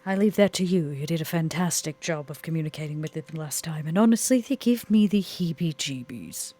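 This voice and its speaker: Woman playing a husky, genteel vampire lady. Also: low voice, lively intonation, fairly quick, dark tone. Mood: fond. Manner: prim, quaint turns of phrase. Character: genteel, old-fashioned, quick-witted female vampire. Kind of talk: in-character tabletop roleplay.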